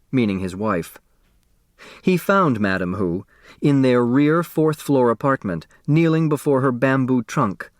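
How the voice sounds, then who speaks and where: A man reading a novel aloud as an audiobook narrator, giving expressive colour to the characters. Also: medium pitch, dry recording, clean studio sound.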